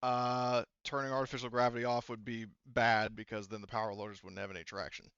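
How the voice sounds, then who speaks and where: A man narrates and rules on a tabletop roleplaying game as game master. His voice is smooth and mid-pitched, with a halting, explanatory delivery. The recording is close and dry. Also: level tone, fairly bright tone, no room sound, highs cut off.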